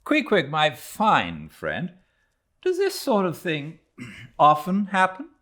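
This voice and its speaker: A man's voice: fairly high pitch, wildly swooping pitch, slow, sharp tone, voice pushed up, full character voice. A man voicing an earnest, incredulous young sailor in an audiobook, speaking in an emphatic, wryly formal, theatrical way.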